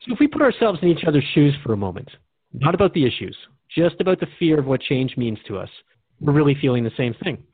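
A man's voice: on the high side, very animated, quick pace, husky, dry recording. A man speaking as a radio host in a conversational drama dialogue, reflective and earnest. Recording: dry, narrow phone-like sound.

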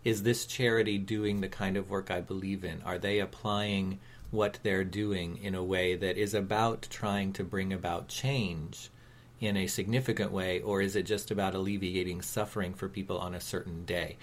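A man co-hosting a talk radio show, speaking in an earnest, measured and encouraging, reflective way. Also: sits low, steady tone.

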